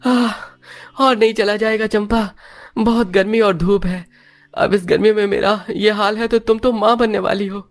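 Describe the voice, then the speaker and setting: A man's voice: sits high, fairly even intonation, normal speed, pitched above the performer's own voice. A man voicing a poor young husband with an earnest, emotional manner for a moral story cartoon. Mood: exhausted.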